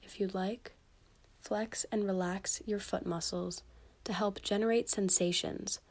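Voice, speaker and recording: A woman leading a scripted guided meditation for sleep, speaking gently and soothingly with pauses between instructions. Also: mid-range voice.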